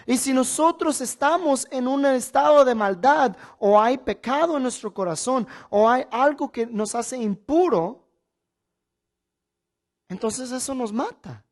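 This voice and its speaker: A male preacher teaches from the Bible in a sermon style. He phrases each point deliberately and with emphasis, leaning on repetition and questions put to his listeners. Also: extremely high pitch.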